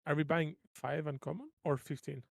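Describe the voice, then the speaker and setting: A man's voice: fairly high pitch, normal speed, vocal fry. A man chatting casually as a player in a tabletop roleplaying game. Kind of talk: casual chat.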